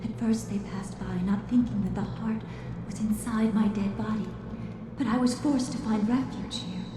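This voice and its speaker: A woman voicing a long-dead female guardian in a video game cutscene, giving measured, commanding warnings with a ghostly echo. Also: mid-range voice, fairly even intonation, background noise. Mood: solemn. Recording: echoey hall heard from far off, with music.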